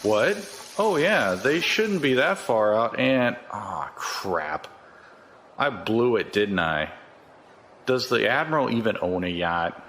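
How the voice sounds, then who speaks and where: An actor in a science fiction audio drama playing a cocky, folksy, corrupt security chief who talks in a chatty, colloquial way. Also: male voice, fairly low pitch, big pitch swings, slow pace, a bit bright, slight background noise, heavily acted. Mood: jovial, bumbling.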